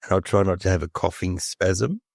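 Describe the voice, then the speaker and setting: Brief: very low male host with a snuffly cold, dry close sound. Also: moderate pace, vocal fry, a little mellow, no room sound. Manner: jovial, self-mocking. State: stuffy head cold, snuffly. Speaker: podcast co-host. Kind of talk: casual chat.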